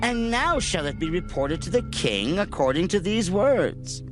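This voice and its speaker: A sly scheming foe, slow and sweeping. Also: male voice, sits high, very animated. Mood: sly, coaxing. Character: scheming male foe writing a sly threatening letter. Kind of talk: dramatized scripture reading. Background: music.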